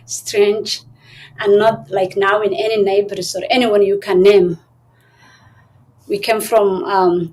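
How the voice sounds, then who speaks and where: Mellow-voiced woman, soft-spoken. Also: medium pitch, poor audio. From Somalia. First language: Somali. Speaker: guest. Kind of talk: interview conversation.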